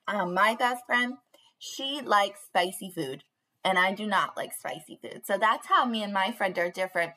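Young lady preschool teacher, kinda high voice, sing-songy, talking right to little kids on a webcam. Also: sharp tone. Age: young adult. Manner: bubbly, sing-song, addressing children directly. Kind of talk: read-aloud for young children. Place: small panelled indoor room.

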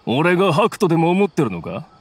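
Defiant mercenary, swinging pitch. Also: male voice, big pitch swings, fairly quick. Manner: scornful, defiant, rough phrasing. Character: defiant, gruff male desert mercenary, stubborn and scornful. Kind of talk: Japanese anime game voice acting.

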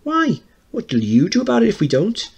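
Man as a cocky bullying gang boy, raised pitch. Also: huge pitch swings, moderate pace, gravelly, a little mellow, breathy edge, full character voice. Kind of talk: dramatic play reading.

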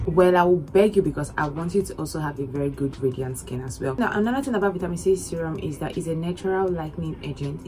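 A young woman talks to camera in a casual skincare vlog, her voice a little hoarse from a recent loss of voice. She sits fairly low in pitch and chats animatedly, repeating lines for emphasis. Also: dark tone, low-quality recording. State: hoarse, recovering lost voice. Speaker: beauty vlogger. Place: indoors against plain orange backdrop.

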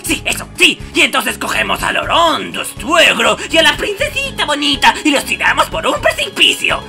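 A man voicing a hot-tempered, sarcastic, scheming parrot in a cartoon dub, screechy and gravelly, with a Castilian Spanish accent. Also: very high voice, big pitch swings, moderate pace, over music, heavily acted. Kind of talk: cartoon fandub audition.

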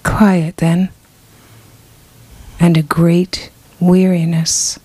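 A woman performing a dramatic literary reading with deliberate, measured storytelling. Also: on the low side, little pitch movement, warm tone, a little hiss or hum. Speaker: stage performer reading narration. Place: small crowded bookshop, indoors. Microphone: microphone on a stand.

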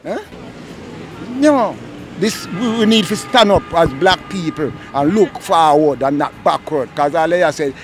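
An older Jamaican man preaches his views outdoors on a city street, his voice sitting a little high. He drops to a low mutter, then bursts out loud. The handheld mic is held up to him by an interviewer. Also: unhurried, soft background music. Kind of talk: impassioned street talk.

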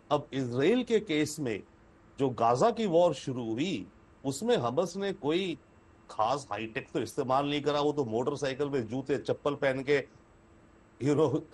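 A man's voice: mid-range voice, darkish tone. A man in his sixties with an Indian accent giving analytical commentary over a video call, repeating points for emphasis and mixing in English phrases. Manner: mixes English phrases into Hindi, emphatic repetition. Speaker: foreign affairs expert guest.

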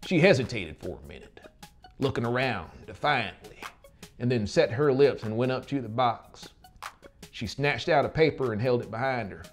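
A man's voice: mid-range voice, expressive, moderate pace. A man in his thirties with a Southern American accent, narrating a story dramatically as an audiobook reader. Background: music.

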